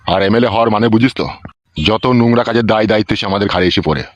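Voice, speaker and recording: A man's voice: lowish voice, very fast, dry recording, lo-fi sound, lightly acted. A man playing a sleazy, bossy village politician in a comic cartoon, speaking rustic slang. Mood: boastful.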